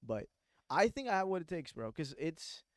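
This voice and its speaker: A man in his twenties from Pakistan, chatting on a podcast in an animated, emphatic way with slangy asides. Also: a little high, moderate pace, dry recording, studio quality. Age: 20s. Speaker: podcast co-host. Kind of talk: casual chat.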